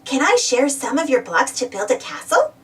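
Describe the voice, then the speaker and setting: A woman's voice: high-pitched, big pitch swings, moderate pace, far from the mic. A woman voicing a friendly, generous young kitten who talks coaxingly, in a storybook.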